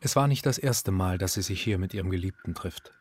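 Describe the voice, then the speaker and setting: Sachlicher Hörspielerzähler, eher tiefe Stimme. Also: male voice, low voice, brisk, husky. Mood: sober. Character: sober male narrator of a literary novella. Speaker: narrator. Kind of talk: scripted literary narration in a German radio drama.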